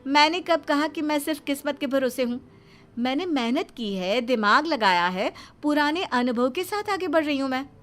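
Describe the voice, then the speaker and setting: A woman's voice: high-pitched, lively intonation, normal speed, a bit bright, faint music behind. A woman voicing a cocky, cheeky young daughter-in-law in a Hindi moral-story cartoon, theatrical and boastful. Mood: defiant.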